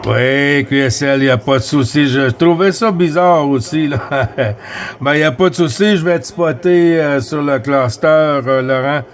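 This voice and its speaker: Man, québécois accent, easygoing radio chat, repeats himself, fairly high voice. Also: unhurried. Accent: Québécois French. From Quebec. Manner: relaxed, friendly, repeats himself with fillers. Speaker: CB radio operator.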